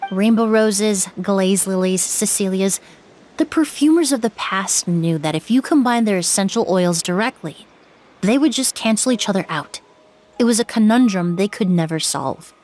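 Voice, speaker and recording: An actress playing a composed young perfumer and investigator who explains things in a calm, measured way. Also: female voice, medium pitch, natural voice. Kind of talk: game character dialogue.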